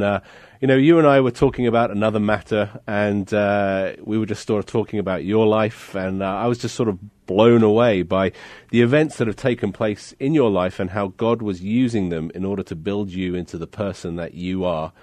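A man's voice: lowish voice, darkish tone, no room sound, clean studio sound. A man co-hosting a radio interview, asking friendly, rambling questions full of fillers. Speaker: radio co-host.

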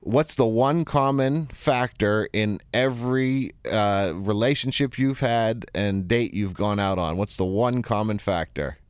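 A man with a hoarse, strained voice hosting a call-in advice show from a radio studio, coaching callers with direct questions. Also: lowish voice, unhurried, hardly any pauses, no echo. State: hoarse, voice strained from a neck injury. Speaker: radio call-in host and therapist.

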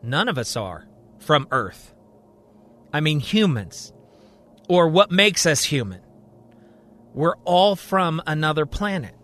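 A man voicing a calm, patient alien who explains things gently and deliberately in an audio drama. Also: fairly high pitch, heavily acted. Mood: patient, explanatory.

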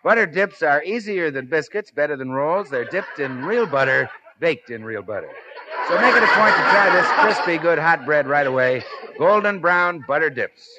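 Fairly high-voiced American male radio announcer. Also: lively intonation, moderate pace. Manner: folksy, persuasive sales delivery. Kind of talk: 1950s radio advertisement read. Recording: noisy 1950s radio broadcast.

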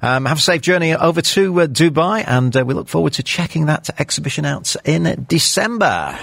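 Animated male radio host. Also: fairly high pitch, brisk, gravelly. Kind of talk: live interview chat.

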